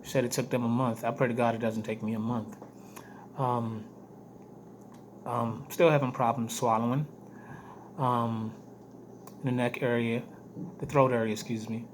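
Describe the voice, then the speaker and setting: A man with a hoarse, weak voice giving a casual video diary update, speaking haltingly and repeating phrases for emphasis. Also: medium pitch, level tone. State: hoarse, weak voice after throat surgery. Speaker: vlogger giving health updates. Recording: noticeable background noise.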